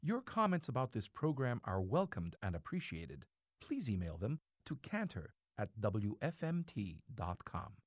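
A man's voice: on the low side, very animated, unhurried, no echo, studio quality. A man from Greece, a cantor, hosting a radio program about music with scripted narration.

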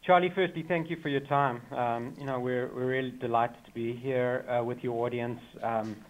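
An effusive man with a South African accent, talking eagerly as a guest on a radio show. His voice sits at an ordinary middle pitch, and he piles on warm praise while a few filler sounds break up his sentences. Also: fairly even intonation, normal speed, poor audio. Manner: enthusiastic, effusive. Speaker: choir member, guest. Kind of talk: radio interview.

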